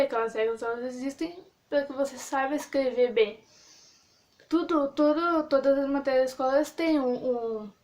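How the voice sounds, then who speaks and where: A young woman talks straight to camera in a relaxed vlog, sharing her view. Her voice sits high, and she meanders with frequent fillers and self-corrections. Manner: rambling with many fillers and restarts. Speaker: vlogger. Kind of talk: casual opinion monologue.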